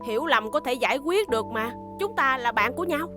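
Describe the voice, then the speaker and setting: A woman playing a hot-headed, blunt boy racer in a dubbed animated series. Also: high-pitched, fairly quick, music in the background. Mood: remorseful. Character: hot-headed, stubborn boy racer, loyal friend at heart.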